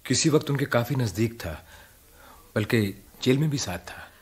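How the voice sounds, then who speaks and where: A man's voice: mid-range voice, animated, normal speed, husky. An actor playing a weary, disillusioned writer in a radio drama, respectful and hesitant in his speech. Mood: reserved, earnest. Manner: hesitant, deferential, brief phrases. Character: subdued male writer, respectful, weary, disillusioned old comrade.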